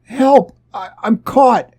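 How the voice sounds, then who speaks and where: A man's voice: extremely high pitch, slow pace, dark tone, voice pushed up, exaggerated voice. Man acting an anxious, hesitant space crewman. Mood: alarmed. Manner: hesitant, stammering.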